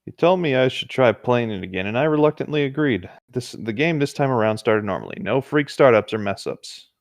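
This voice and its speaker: A man's voice: mid-range voice, animated, brisk, creaky, dry recording, studio quality. A man reading horror stories aloud as a co-host, with casual chatty asides. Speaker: co-host reading video game creepypastas.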